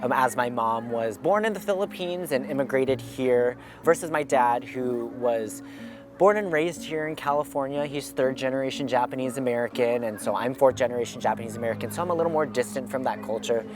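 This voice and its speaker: A young man earnestly reflecting on his identity as a documentary interviewee, with thoughtful pauses. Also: mid-range voice. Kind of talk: reflective personal account. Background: music.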